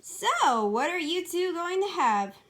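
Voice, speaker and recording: A woman's voice: very high voice, sing-song, takes it slowly, a bit bright, slight background noise, voice pushed up, exaggerated voice. A woman voicing a cheery, polite waitress in an amateur comic dub storybook reading, perky and courteous.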